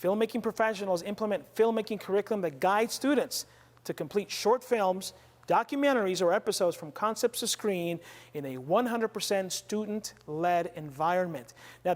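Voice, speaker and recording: A woman's voice: medium pitch, moderate pace, darkish tone. A woman presenting at an event, giving a semi-scripted introduction, with long reverberation on the voice.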